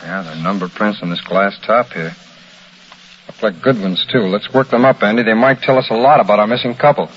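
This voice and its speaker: An actor playing a keen, methodical federal agent in a 1940s radio crime drama, brisk and businesslike. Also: male voice, fairly low pitch, natural voice. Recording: muffled old radio recording, faint noise.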